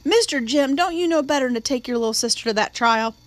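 Brief: stern housekeeper, voiced by a woman, high and sharp, scolding the children. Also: quick pace, no echo, close to an everyday voice. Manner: scolding, indignant.

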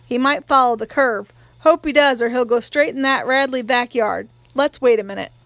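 A woman voicing a cautious, practical male small-town sheriff in an audiobook, plain-spoken and deliberate. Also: high-pitched, a bit bright, dry recording. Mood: cautious, tense.

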